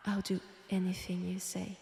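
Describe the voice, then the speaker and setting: A woman with a French accent playing an eerie, seductive guide of a magic library in a breathy, half-whispered ASMR roleplay. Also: lowish voice, completely flat delivery. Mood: yearning, pleading. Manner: slow, deliberate, hushed. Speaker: roleplay voice actor. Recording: roomy long reverb, faint background noise.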